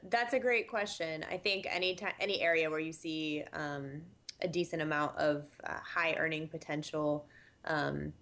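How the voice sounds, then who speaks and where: A woman who directs housing statistics, giving measured, explanatory interview answers with frequent fillers. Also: low-pitched, fairly even intonation, a bit bright.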